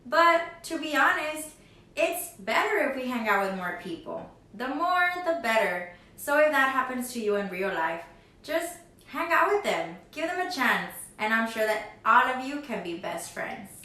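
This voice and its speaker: A woman's voice: high-pitched, big pitch swings, a little slow, pushed and bright, very echoey, recorded from a distance. A woman teacher talking to young children as she hosts a read-aloud, often asking them questions directly. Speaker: teacher reading picture books aloud for children.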